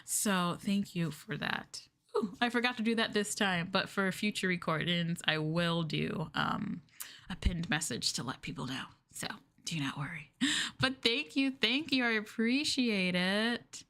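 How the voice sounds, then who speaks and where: Female streamer, bubbly and chatty, mid-pitched, casual livestream talk. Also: measured pace, sharp tone.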